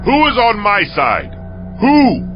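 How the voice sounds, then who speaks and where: A man's voice: sits high, huge pitch swings, slow pace, full character voice. An actor playing a fierce, commanding ancient warrior king with a stern, declamatory delivery in a dramatized scripture audio drama. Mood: fierce shouting. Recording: dull muffled audio under music.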